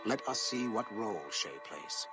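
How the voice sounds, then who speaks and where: An actor voicing a measured, watchful narrator in a video game. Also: male voice, on the low side, animated, close to an everyday voice. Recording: loud music behind.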